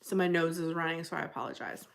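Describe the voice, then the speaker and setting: A woman's voice: fast, gravelly. A woman from New Jersey with a Jersey accent, casually chatting on a livestream from her apartment desk and reacting to viewer comments, her nose running from a cold. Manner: chatty, rambling, reacts to chat with sudden high exclamations. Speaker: livestream host.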